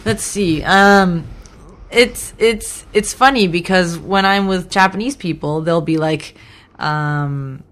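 A woman with a very low voice speaks as a guest in a casual conversation. She is easygoing and often laughs as she talks. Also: slow, fairly bright tone. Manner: easygoing, laughs while talking.

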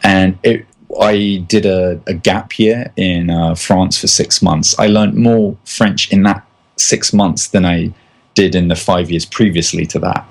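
A man's voice: deep voice, fairly even intonation, a little slow, creaky. An Englishman in casual conversation, enthusiastic and often restarting his phrases. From England.

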